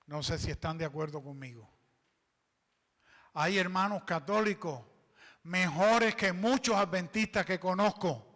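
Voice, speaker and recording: A man's voice: high voice, a little slow, long pauses, a bit bright. A man preaching as a pastor, reading scripture and asking the congregation to fill in the words. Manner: reads scripture aloud and explains it. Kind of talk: sermon. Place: church hall. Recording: long reverb of a church hall.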